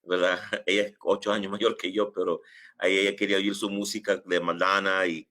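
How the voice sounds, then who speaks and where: A middle-aged man from New York telling his story as an interview guest, halting often and dropping filler phrases in. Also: low-pitched, flat delivery, pushed and bright. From United States, New York. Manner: halting, sprinkles English filler phrases into Spanish. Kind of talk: casual personal storytelling in an interview.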